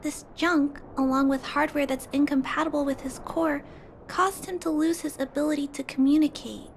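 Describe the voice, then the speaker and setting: A woman voicing a kind, earnest young girl who fixes robots, speaking politely and gently. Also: high-pitched, steady tone, normal speed, a little hiss or hum. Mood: earnest gentle. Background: game music.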